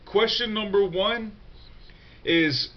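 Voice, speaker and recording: A man's voice: sits high, slow, dull audio. Stuffy-nosed young man, casual and profane. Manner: loose and profane, many fillers and restarts. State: congested, nasal from a cold. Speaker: vlogger answering viewer questions. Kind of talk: casual unscripted vlog talk.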